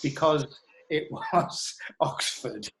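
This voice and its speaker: A middle-aged man giving a reflective interview, telling anecdotes in a hesitant, measured way. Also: on the high side, huge pitch swings, vocal fry, a bit bright, slight background noise. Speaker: writer interviewed about audio drama scripts.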